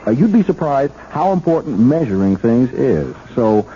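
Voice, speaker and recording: Old radio drama, faint hiss, guy playing a bumbly apologetic cop who's sharper than he lets on, pitch bounces around. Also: big pitch swings, moderate pace, mellow, close to an everyday voice. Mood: rambling, probing. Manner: hesitant, stammering, folksy, falsely apologetic. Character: bumbling, over-friendly, quietly shrewd police officer, aspiring detective. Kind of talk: 1970s radio drama acting. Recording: dull, lightly hissy 1970s radio recording.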